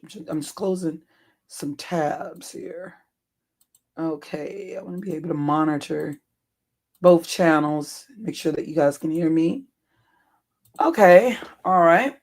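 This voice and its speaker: A livestream host with a hoarse, deep, worn voice, chatting casually with viewers, rambling and correcting themselves with frequent chuckles and ums. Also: a little slow, pauses often.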